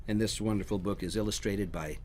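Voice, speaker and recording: A man reading storybooks aloud for children in a dramatic, expressive way, at times dropping to a hush. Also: fairly low pitch, a little fast, a little hiss or hum. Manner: dramatic, dropping to hushed near-whispers. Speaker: storybook reader.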